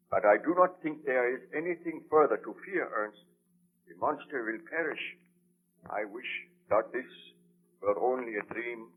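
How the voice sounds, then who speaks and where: An actor playing a haunted, impassioned baron and scientist in 1930s radio drama style, with formal theatrical diction. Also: male voice, mid-range voice, breathy edge, close to an everyday voice. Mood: brooding, resigned. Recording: muffled old 1930s radio broadcast.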